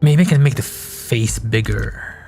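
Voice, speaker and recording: A man's voice: medium pitch, unhurried, vocal fry, a little mellow, soft background music. A young man from Malaysia, whose first language is Malay, chatting loosely on a game-dev live stream and thinking aloud with restarts. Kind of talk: casual live-stream chat while working.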